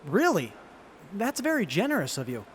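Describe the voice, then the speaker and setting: A man's voice: sits high, huge pitch swings, normal speed. A man playing a polite, eager tinkerer in an audio drama, courteous and enthusiastic. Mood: eager, impressed. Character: polite, eager young tinkerer who loves tech. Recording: noisy background mix.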